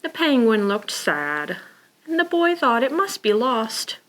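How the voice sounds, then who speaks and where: Slow, high-voiced woman reading to preschoolers. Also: poor audio. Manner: expressive, with sighs, gasps and exclamations; simple child-directed phrasing. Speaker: children's librarian storytime presenter. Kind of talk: read-aloud picture book storytime for preschoolers.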